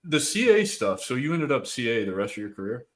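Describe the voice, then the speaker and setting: A man's voice: mid-range voice, very animated, fairly quick, a bit bright. A man hosting a podcast, himself an Army veteran, chatting in an easygoing, chummy way and laughing readily. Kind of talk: casual conversational interview.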